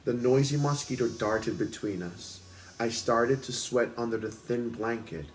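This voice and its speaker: A man's voice: mid-range voice, measured pace, rough recording. A man hosting a book club, reading aloud expressively at home in a storytelling style.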